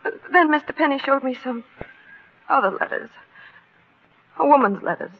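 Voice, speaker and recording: Anxious high-voiced wife, tinny radio. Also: female voice, expressive, moderate pace. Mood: anxious, confessing. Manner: breathless, hesitant, emotional. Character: anxious, trusting wife of a murderer. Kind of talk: 1940s radio melodrama acting. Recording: tinny, narrow old radio broadcast.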